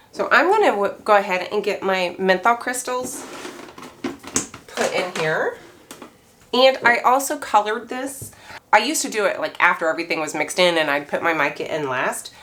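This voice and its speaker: Hoarse woman chatting while she works. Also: mid-range voice, moderate pace, long pauses, slight background noise. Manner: chatty, relaxed, thinks aloud while working. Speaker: craft maker. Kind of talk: casual how-to chat.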